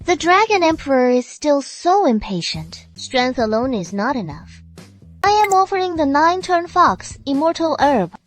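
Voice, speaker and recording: A woman's voice: high voice, big pitch swings, normal speed, faint music behind. An actress playing a sly, alluring fox-woman immortal in an anime dub, teasing and coy.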